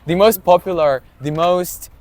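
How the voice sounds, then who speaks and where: A man's voice: high-pitched, measured pace, darkish tone. A young man interviewing fellow students in a casual, chatty way, laughing along and speaking English as a second language. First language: Ukrainian. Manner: chatty, laughs along, non-native phrasing.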